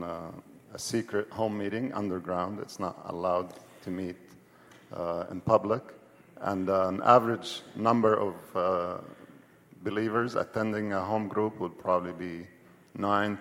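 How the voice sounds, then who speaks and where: Male missionary guest, fairly deep, halting explainer, reverberant hall sound. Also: fairly even intonation. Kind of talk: explanatory interview answer. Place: indoor church hall.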